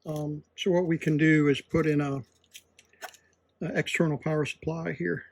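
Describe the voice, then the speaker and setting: A man at an indoor workbench gives an unscripted, hands-on repair demonstration, thinking aloud in a halting way as he works. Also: on the high side, little pitch movement, gravelly. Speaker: electronics repair hobbyist presenting.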